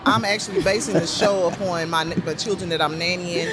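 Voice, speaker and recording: A woman with a deep voice talking as a podcast guest, rambling with many restarts and fillers. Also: steady tone, a little fast, music in the background, low-quality recording. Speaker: guest, puppet show creator. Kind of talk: casual podcast conversation.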